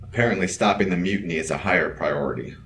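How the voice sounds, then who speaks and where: A lowish, quick male voice acted as a level-headed crewman in a sci-fi audio drama. He speaks tersely and practically, focused under pressure, over faint background noise. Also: a bit bright. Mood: controlled urgency. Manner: terse, matter-of-fact, practical.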